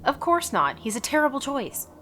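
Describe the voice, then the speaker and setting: A woman's voice: high-pitched, big pitch swings, normal speed, a bit bright, a little hiss or hum. A woman playing a principled, battle-hardened superhero in a radio drama, firm and defiant. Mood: firm, skeptical. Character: principled, tough female superhero, a battle-hardened war veteran.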